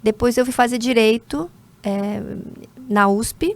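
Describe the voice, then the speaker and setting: Measured Korean-born woman in her forties. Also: on the high side, steady tone. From South Korea. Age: 40s. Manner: measured, with frequent hesitation fillers. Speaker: interview guest, corporate lawyer. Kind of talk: conversational interview.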